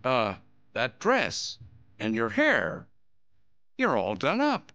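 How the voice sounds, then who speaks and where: A man's voice: medium pitch, huge pitch swings, slow pace, lo-fi sound, exaggerated voice. An indignant old man. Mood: elated. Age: older. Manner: indignant, commanding, then overjoyed shouting. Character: stubborn older man reclaiming his radio. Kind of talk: 1950s radio drama acting. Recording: vintage radio drama with music.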